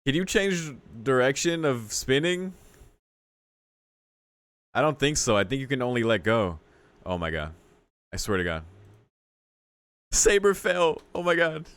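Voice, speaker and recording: Guy doing casual game commentary, mid-pitched voice, dry close sound, exclaims and groans in mock exasperation. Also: very animated, unhurried, pauses often, a bit bright, clean tone, no room sound. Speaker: gaming video host.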